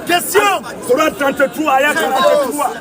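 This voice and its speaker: A man's voice: very high voice, steady tone, moderate pace. A man preaching in a heated street debate, insistent and combative, repeating his phrases and challenges. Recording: distant mic amid loud outdoor crowd.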